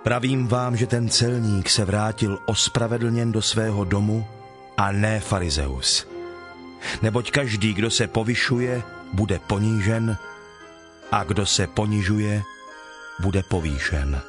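A man playing Jesus as a solemn, authoritative teacher in a dramatized scripture reading, speaking in measured declarations. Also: fairly low pitch, close to an everyday voice. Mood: solemn, instructive. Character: Jesus, solemn teacher telling parables and instructing followers. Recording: music behind the voice.

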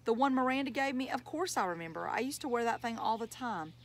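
A woman voicing an earnest, excitable schoolboy of about eleven in a storybook, eager and teasing. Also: a little high, quick pace, a little hiss or hum, voice pushed up.

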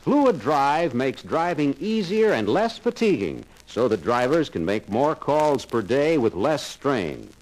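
A man's voice: medium pitch, very animated, measured pace, hardly any pauses, darkish tone, low-quality recording. A man narrating a 1950s sales training film in a confident, persuasive salesman's manner.

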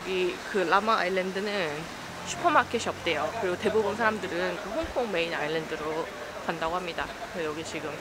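A woman's voice: on the low side, very noisy. A woman travel vlogger chatting and narrating casually, in a blunt, informal way. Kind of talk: casual vlog chat and narration. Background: music.

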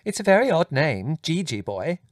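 Guy putting on a squeaky eager young sister, kinda distant. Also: very animated, normal speed, no echo, studio quality.

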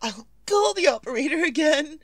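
An actress playing a nervous, imperious, querulous wife in 1940s radio suspense style, melodramatic and demanding. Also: female voice, high-pitched, expressive, dry recording, studio quality. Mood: anxious, querulous. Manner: melodramatic, demanding, drawn-out delivery.

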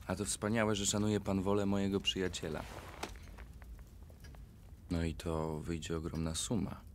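A man's voice: deep voice, level tone, normal speed, darkish tone, a little hiss or hum, lightly acted. An actor playing a brooding, decisive, short-tempered man in a radio drama, with a curt manner. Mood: guarded, reserved.

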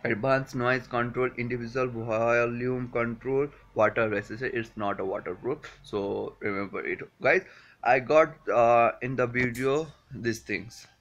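Young man, Nepali accent, slow pace, halting and filler-heavy, mid pitch, casual unscripted vlog review. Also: fairly bright tone, lo-fi sound, slight background noise. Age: 20s. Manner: halting, hesitant delivery with frequent fillers. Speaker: vlogger reviewing a product. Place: small furnished room indoors.

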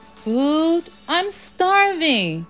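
Hungry storybook cat, acted by a woman: raised pitch, drawn-out and emphatic, music behind. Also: very animated, fairly bright tone, full character voice. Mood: starving.

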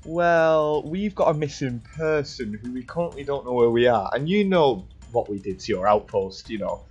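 A man's voice: mid-range voice, lively intonation, normal speed, darkish tone, husky. A young man improvising casually in character in a video game roleplay. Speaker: gaming roleplayer. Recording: dull lo-fi game mic, music behind.

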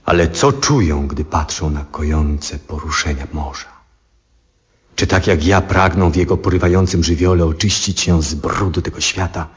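Old 70s radio drama, bit muffled, brooding old astronomer, super deep voice, thoughtful and earnest. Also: male voice. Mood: brooding, tender. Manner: reflective, earnest, measured theatrical delivery. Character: Copernicus, aging, brooding, compassionate astronomer. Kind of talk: 1970s Polish radio drama monologue. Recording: dull 1970s radio recording.

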